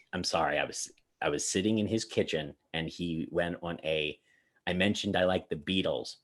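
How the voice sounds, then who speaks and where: A man co-hosting a magic review show, chatting casually and rambling through anecdotes with many restarts. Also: deep voice. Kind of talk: casual panel chat.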